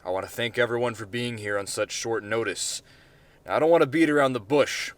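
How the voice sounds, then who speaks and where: A defiant, blustering president, acted by a man reading a story, declaiming loudly and emphatically. He is embattled and indignant, in a mid-range male voice. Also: medium pitch, a little fast, no room sound, natural voice. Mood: tense, indignant. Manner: loud, emphatic, dramatic declarations. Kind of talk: amateur short-story character reading.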